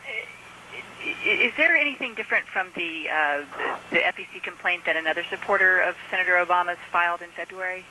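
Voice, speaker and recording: A woman's voice: lowish voice, fairly quick, pushed and bright. A woman, a reporter, hesitantly asking a question over a telephone line. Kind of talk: spontaneous press question. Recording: faintly noisy telephone line.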